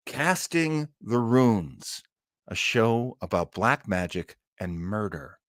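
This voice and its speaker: A man's voice: lowish voice, a bit bright, no echo. A man casually presenting as the host of a live show, chatting informally into a close mic. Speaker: host of an old-time radio show stream.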